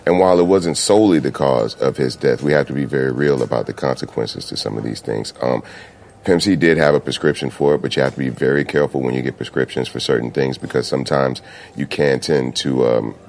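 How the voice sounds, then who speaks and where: Very deep, gravelly man being interviewed. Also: a little fast, darkish tone. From Houston, Texas. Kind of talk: spontaneous interview answer.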